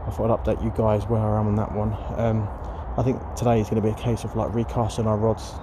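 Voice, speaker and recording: A man chatting casually by a lake about carp fishing, rambling with plenty of fillers, his voice going hoarse. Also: lowish voice, level tone, fast talker. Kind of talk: casual vlog chat to camera. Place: outdoors by a lake. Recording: noisy outdoor recording.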